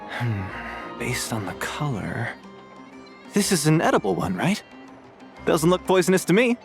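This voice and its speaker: A laid-back student, blunt and casual, drawls in a low voice. Her pitch still wanders up and down. Soft game music sits behind. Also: big pitch swings, a little slow, creaky, heavily acted. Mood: offhand.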